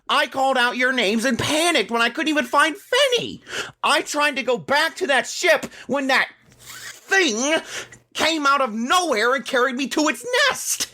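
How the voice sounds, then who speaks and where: A woman's voice: high-pitched, bright, forceful tone, exaggerated voice. A woman playing a fussy, controlling rich man in an audio drama, whiny, pompous and indignant.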